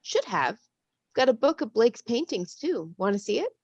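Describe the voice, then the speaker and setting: Woman playing creaky-voiced breezy young bookseller. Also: a little high, sing-song, normal speed, darkish tone, dry recording, heavily acted. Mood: offhand. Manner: offhand, quirky. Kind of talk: screenplay table read. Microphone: video call. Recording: dull, dry video-call audio.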